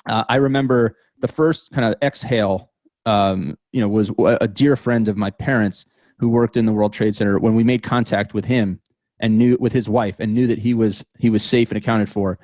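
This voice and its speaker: Low-voiced American man, reflective and halting. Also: fairly even intonation, a little mellow, dry recording. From New York metropolitan area, United States. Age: 30s. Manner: halting, thoughtful, repeats words as he goes. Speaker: podcast guest. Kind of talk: reflective interview storytelling.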